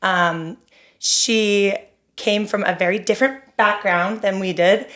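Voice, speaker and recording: A woman's voice: medium pitch, steady tone, slow pace, fairly bright tone. A woman co-hosting a podcast in a casual, conversational interview style. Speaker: podcast co-host.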